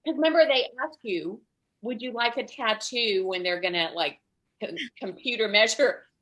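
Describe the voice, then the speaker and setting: A middle-aged woman telling stand-up stories in a conversational way, with dramatic pauses. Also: on the high side, a bit bright, low-quality recording. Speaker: stand-up comedian. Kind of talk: stand-up comedy storytelling. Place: online video event.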